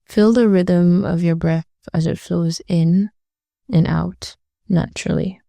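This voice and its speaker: A woman leading a guided meditation in a gentle, soothing way, speaking slowly and leaving long pauses between phrases. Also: lowish voice, fairly even intonation, vocal fry, dry recording.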